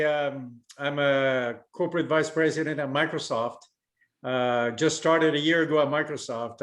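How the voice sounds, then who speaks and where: A man born in Chile introducing himself on a video call in a friendly, chatty way. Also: fairly high pitch. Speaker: corporate vice president. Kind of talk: casual self-introduction.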